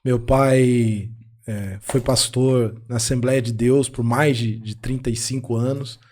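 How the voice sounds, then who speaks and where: A man's voice: mid-range voice, steady tone, takes it slowly, darkish tone. A man in his thirties with a Brazilian accent, a pastor guesting on a podcast and casually telling his personal story. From born in Argentina to Brazilian parents. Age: 30s.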